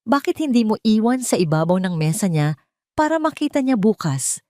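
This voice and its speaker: A woman voicing a kind, protective housemaid, gentle and soothing, in a storybook drama. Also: medium pitch, sing-song, moderate pace, no echo, studio quality, voice pushed up, lightly acted.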